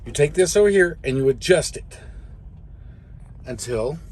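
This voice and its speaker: A man's voice: on the high side, expressive, unhurried. A middle-aged American man, a truck driver, giving a casual hands-on tutorial in a plain, folksy way. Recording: clearly noisy vehicle cab.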